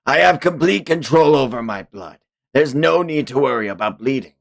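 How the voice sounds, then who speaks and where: A man's voice: mid-range voice, lively intonation, normal speed, vocal fry. A man voicing a cocky, bloodthirsty fantasy fighter in a taunting, gleeful, theatrical comic read-aloud. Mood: smug gloating.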